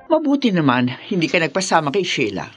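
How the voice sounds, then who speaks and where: An actress playing a commanding older female bar boss in a radio drama, measured and coolly authoritative. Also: deep voice, huge pitch swings, faint music behind. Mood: cool, controlling.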